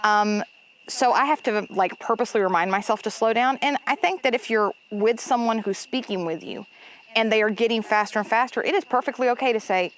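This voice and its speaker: A Spanish teacher from Chile whose first language is Spanish, speaking English with a Chilean accent in a chatty, laughing podcast conversation. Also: female voice, on the high side, brisk, slight background noise. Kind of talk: casual podcast chat.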